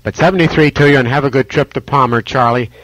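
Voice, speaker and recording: A man's voice: medium pitch, quick pace, a bit bright, no echo, poor audio. A courteous man working as an amateur radio operator, relaying calls in procedural radio talk. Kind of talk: radio contact, procedural chat. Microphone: ham radio microphone.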